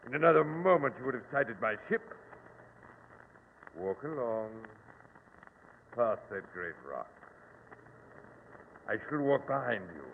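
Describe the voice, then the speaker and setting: A man's voice: medium pitch, big pitch swings, unhurried, creaky, pauses often, slight background noise. A menacing, ceremonious villain in a vintage radio thriller. He speaks with sweeping, theatrical intonation, ringing with long reverb through a tinny old broadcast. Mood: suspicious, formal. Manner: formal, ominous, theatrical. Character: menacing armed villain, formal, coldly commanding. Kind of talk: old-time radio drama acting.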